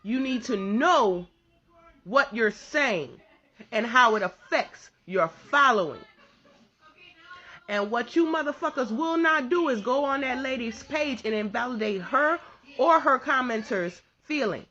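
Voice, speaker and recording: A woman's voice: fairly high pitch, animated, measured pace, sharp tone. A stern woman delivering an emphatic, confrontational social media rant in dull lo-fi audio.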